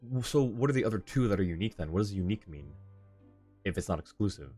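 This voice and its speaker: Low-voiced male game streamer thinking aloud. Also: expressive, fast talker, warm tone, husky. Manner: thinks aloud, reading on-screen text and chat. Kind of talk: casual live gaming commentary. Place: indoor live stream setup. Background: faint game music.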